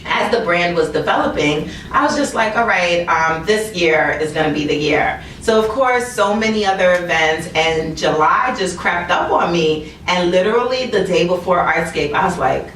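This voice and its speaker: A woman's voice: fairly low pitch, lively intonation, moderate pace, few pauses, a bit bright, slight background noise. Chatty woman telling a story, echoey room. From Jamaica. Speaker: guest, business founder. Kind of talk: casual interview storytelling. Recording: echoey room, distant mic.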